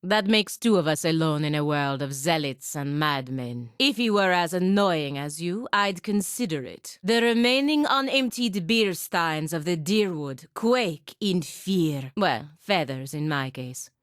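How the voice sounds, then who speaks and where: A woman's voice: low-pitched, lively intonation, a little slow, hardly any pauses, no echo. An actress playing a stern, dutiful and proud paladin woman in a video game, with a dry, sardonic and commanding manner. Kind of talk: video game character dialogue.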